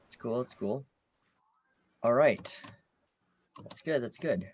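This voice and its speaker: Young male interviewer, mid-range voice, slow laid-back delivery, dull recording. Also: medium pitch, animated. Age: teen or young adult. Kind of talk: casual interview. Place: online video call. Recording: dull lo-fi call audio.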